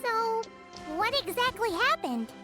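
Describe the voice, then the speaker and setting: Tiny perky game fairy, very high childlike voice, swooping intonation, exclamatory. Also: female voice, big pitch swings, measured pace, loud music behind the voice, full character voice. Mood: cheerful, curious. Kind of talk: animated video game character acting.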